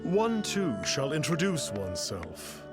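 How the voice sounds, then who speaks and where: An actor playing a pompous, grandiose immortal in a video game, speaking in a theatrical, archaic, boastful manner. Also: male voice, fairly high pitch, wildly swooping pitch, unhurried. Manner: pompous, archaic, calls himself "one". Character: pompous self-styled immortal adeptus, grandiose boastful showman. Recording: loud game music behind.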